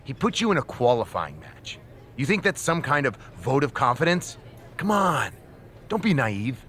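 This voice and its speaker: A smug, scheming wrestling manager for a game cutscene, with noise in the background. His pitch swings widely as he needles and condescends in a theatrical way. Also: big pitch swings, normal speed, fairly bright tone. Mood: mocking. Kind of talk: scripted video game cutscene acting.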